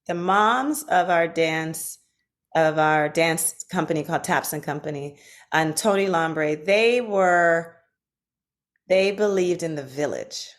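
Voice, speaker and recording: A woman's voice: deep voice, slow. Chatty woman sharing stories. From Washington, D.C. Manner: animated storytelling with fillers. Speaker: podcast guest. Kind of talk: casual conversation.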